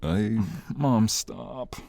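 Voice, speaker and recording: A man playing a devoted young husband and flustered expectant father in an audio roleplay, speaking haltingly. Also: fairly low pitch, vocal fry, darkish tone, heavily acted. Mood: embarrassed.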